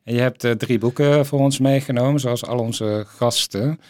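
A man's voice: mid-range voice, steady tone, moderate pace, a little mellow, dry recording. Male interviewer, dry close sound. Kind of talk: conversational book interview.

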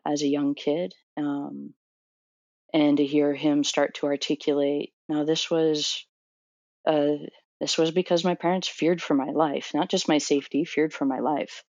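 A woman's voice: low-pitched, darkish tone, no echo, highs cut off. A woman in her thirties from Florida, a doctoral student answering interview questions thoughtfully, with many fillers and restarts. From Florida, USA.

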